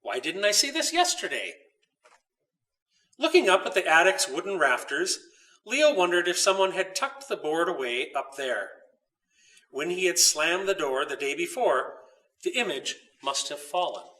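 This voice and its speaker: A man reading his own children's story aloud with expressive, dramatic narration. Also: on the high side, normal speed, far from the mic.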